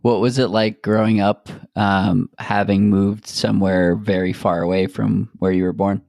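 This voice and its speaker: A man hosting a podcast interview in casual chat, relaxed and halting, with many fillers and restarts. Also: lowish voice, gravelly, no room sound. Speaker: podcast host.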